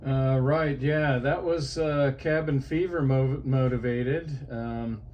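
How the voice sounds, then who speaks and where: Man, from Ohio, ham radio chat, outdoors, slow, halting, lots of "uh", faint hiss. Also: mid-range voice, fairly even intonation, highs cut off. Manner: unhurried, halting, frequent "uh" fillers. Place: outdoors portable setup.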